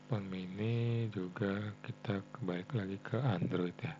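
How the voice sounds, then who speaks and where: An Indonesian man giving a casual coding tutorial, thinking aloud in a halting way and often tagging phrases for his viewers. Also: low voice, fairly even intonation, darkish tone. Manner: halting, frequent "ya" tags addressing viewers as friends. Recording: dull lo-fi mic with faint hum.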